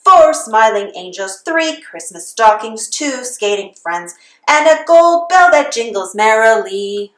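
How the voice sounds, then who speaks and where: A woman's voice: a little high, expressive, slow, reverberant. A woman reading a children's storybook aloud in the sing-song manner of a Christmas carol. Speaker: storybook reader.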